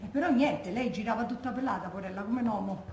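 A woman's voice: on the high side, quick pace, a little mellow, natural voice. An actress playing the grieving, indignant daughter of a Roman war widow, telling her story colloquially and emphatically in a Roman accent, as theatrical testimony. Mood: tender, sorrowful recollection. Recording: echoey room, faint background noise.

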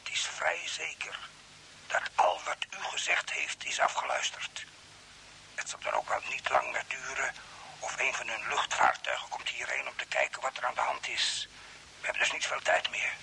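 Sharp-voiced mysterious stranded Earthman. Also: mid-range voice, wildly swooping pitch, normal speed, gravelly, exaggerated voice, low-quality recording. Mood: urgent, insistent. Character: mysterious man from Earth stranded on Mars, calmly insistent. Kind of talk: radio play acting. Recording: hissy old radio broadcast, faint noise.

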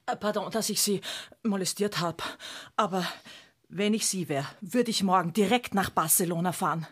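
Casting: actress as older widow in radio drama, gushing, gossipy, given to nostalgic rambling. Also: female voice, mid-range voice, moderate pace, husky, natural voice. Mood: nostalgic, confiding. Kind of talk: radio play dialogue.

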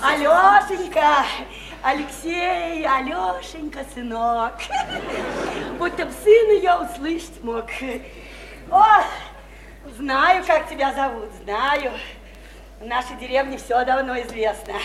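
Shrill brash boozy working woman. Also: slow pace, echoey room, a little hiss or hum. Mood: teasing, sing-song. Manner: flirty, teasing, folksy and slangy. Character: brash, boozy, chattering widowed house painter, a woman. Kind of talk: theatrical radio play acting.

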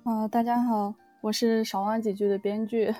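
A woman's voice: a little high, level tone, moderate pace, mellow, faint music behind. A woman from a production team giving a casual introduction on a live stream.

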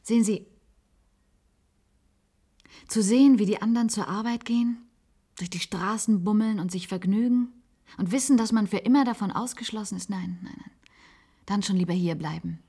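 An actress playing a wistful, resigned former secretary in a German science-fiction radio play, confiding in halting phrases. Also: female voice, mid-range voice, warm tone, slightly rough. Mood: anxious, resigned. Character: wistful former secretary, resigned patient living in an isolated institute.

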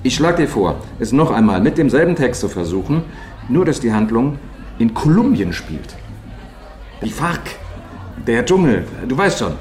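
An actor playing a pushy, scornful publisher in a theatrical German radio drama, insistent and patronizing. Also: male voice, mid-range voice, very animated, normal speed, darkish tone, some chatter behind, lightly acted. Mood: scheming, patronizing. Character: pushy, scornful male publisher haggling over a manuscript. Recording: roomy echo with music underneath.

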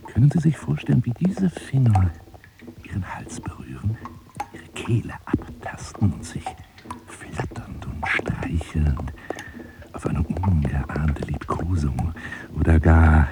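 An actor playing an obsessive, grandiose telephone seducer in a radio drama, husky and breathy, florid and formal, wounded and menacing. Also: male voice, very deep voice, big pitch swings, slow, heavily acted, low-quality recording. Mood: menacing, seductive. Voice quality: husky, breathy, often dropping to a whisper. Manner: theatrical, florid, formal address, swinging from pleading to scornful.

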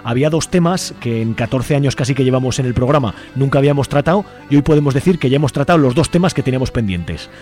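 A man's voice: medium pitch, lively intonation, fast talker, a little mellow. Chatty Aragonese man hosting radio over music. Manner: chatty, colloquial, addresses listeners and guests directly. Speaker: radio show host. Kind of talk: informal live community radio hosting. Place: radio studio. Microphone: studio microphone. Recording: music playing behind the voice.

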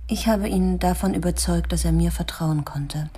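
Low, husky, defensive therapist. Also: female voice, mellow. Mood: defensive, controlled. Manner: formal, measured, self-justifying. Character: defensive, earnest female prison therapist under suspicion. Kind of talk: German radio drama acting.

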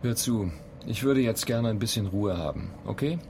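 Low, grumbling space traveller played by a man. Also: normal speed, a little mellow, slight background noise, close to an everyday voice. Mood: annoyed. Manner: grumbling, impatient. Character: irritable, weary male space traveller wanting quiet. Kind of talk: German radio play dialogue.

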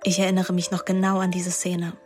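An actress playing a young daughter who narrates a literary radio drama, observant and defiant. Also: female voice, lowish voice, completely flat delivery, a little fast, darkish tone, soft background music, close to an everyday voice. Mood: reflective.

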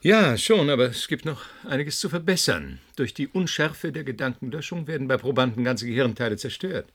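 A man's voice: medium pitch, animated, a little fast, fairly bright tone, slightly rough. An actor playing a glib, callous male scientist in a radio drama.

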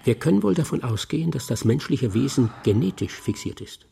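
A detached surgeon in a radio drama, with a mellow, slightly husky voice and plenty of creak. His clinical explanations are confident and move up and down freely. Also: mid-range voice, animated, brisk, slight background noise. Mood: speculative. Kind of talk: German radio crime drama acting.

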